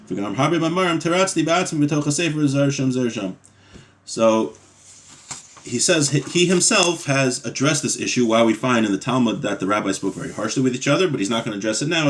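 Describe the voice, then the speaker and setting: A man teaching a weekly religious study class. He reads passages in Hebrew, then translates and explains them in conversational English, often checking in with "right?" and "you know.". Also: mid-range voice.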